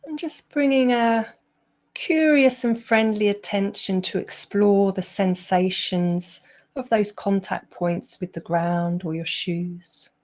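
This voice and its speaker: A woman leads a guided meditation at a slow pace. Her voice sits at a middle pitch. She speaks gently, with long pauses between her instructions. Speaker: meditation teacher.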